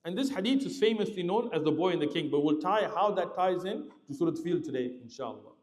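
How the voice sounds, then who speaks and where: A man preaching a sermon, telling a story with lively explanatory asides. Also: fast. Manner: animated, lively pitch swings, explanatory asides. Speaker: Islamic preacher. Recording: roomy hall reverb.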